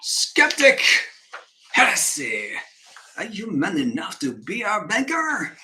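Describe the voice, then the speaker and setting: A man playing an excitable, scheming foreign financier in a stage musical, grandiose and goading in a theatrical way. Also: high-pitched, slow, slight background noise, pitched above the performer's own voice, exaggerated voice. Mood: goading, scornful.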